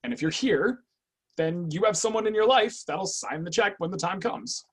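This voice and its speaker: An actor playing a guarded, clinical agent with a cool, probing, businesslike manner in an online screenplay reading. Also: male voice, high voice, lively intonation, moderate pace, breathy edge. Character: guarded, clinical intake agent, weary but obedient man. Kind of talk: online screenplay table read.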